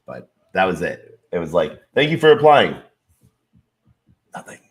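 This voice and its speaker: A man's voice: sits low, unhurried. A man hosting a casual livestream, talking loosely with fillers and the odd swear word. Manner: relaxed, swearing, plenty of fillers; hype announcer voice when introducing a guest. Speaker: livestream host and crypto content creator.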